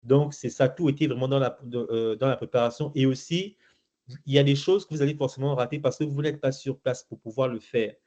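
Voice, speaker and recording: A man's voice: medium pitch, steady tone, fairly quick, mellow. A middle-aged man from Gabon answering interview questions, hesitant, with many fillers and restarts. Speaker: interview guest. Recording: muffled lo-fi recording.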